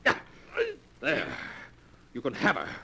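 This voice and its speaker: An actor playing a greedy, bitter, unhinged murderous grandson, melodramatic and vengeful in 1940s radio style. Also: male voice, medium pitch, huge pitch swings, takes it slowly, gravelly, bright, forceful tone, exaggerated voice. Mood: cold, vengeful. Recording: noisy old 1940s radio recording.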